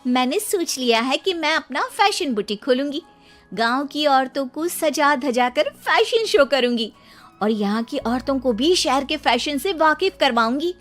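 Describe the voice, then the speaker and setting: A woman voicing a young, spirited city-bred fashion designer in an animated, determined story dialogue. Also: high-pitched, a little slow, soft background music.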